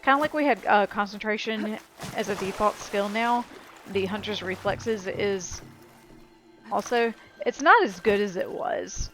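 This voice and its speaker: A woman's voice: medium pitch, unhurried, fairly bright tone. A woman giving casual, chatty video game commentary in a playful way. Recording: game music behind the voice.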